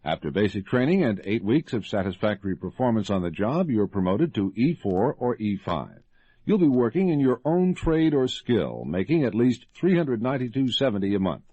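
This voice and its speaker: A man's voice: on the low side, very animated, normal speed, mellow, no echo. An American man reading scripted announcements as a radio host. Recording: dull band-limited vintage radio recording.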